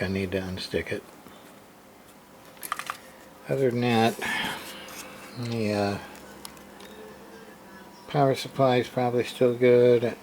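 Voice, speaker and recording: Slow-talking man, noisy amateur recording. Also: medium pitch, darkish tone. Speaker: hobbyist radio repairer, video host. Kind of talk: casual unscripted show-and-tell of equipment.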